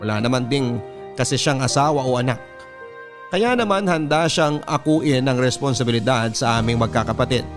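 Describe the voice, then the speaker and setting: Guy reading out a first person story radio style, mid pitch, music playing behind him. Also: normal speed.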